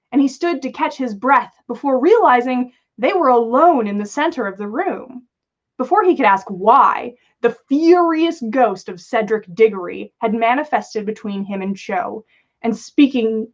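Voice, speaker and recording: A woman's voice: a little high, animated, normal speed, lo-fi sound. A woman reading a story aloud for a livestream in an amused, dramatic manner, with small slips. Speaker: podcast host reading listener fan fiction.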